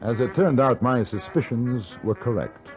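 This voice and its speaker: A man playing a wry, polite English reporter in the West, with a measured, understated delivery in a radio drama. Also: medium pitch, animated, close to an everyday voice. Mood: wry storytelling. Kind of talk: first-person radio drama narration and dialogue. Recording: muffled 1950s radio, music behind.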